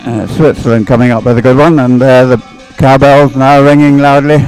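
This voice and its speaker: Guy doing live race commentary, mid-pitched voice, kinda rambles and hesitates a lot. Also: fairly even intonation, moderate pace, darkish tone, smooth, faint music behind, poor audio. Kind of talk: live off-the-cuff sports commentary.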